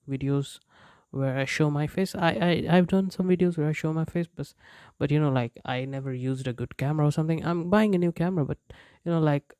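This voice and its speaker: A young man talks casually to his viewers in English, which is not his first language, and he keeps circling back with fillers and restarts. His voice is a touch high for a man but warm and mellow in tone. The recording is dry and close, with no room sound. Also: clean tone, no echo. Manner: rambling, frequent fillers and restarts, chuckles often. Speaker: YouTuber. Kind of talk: casual unscripted vlog chat.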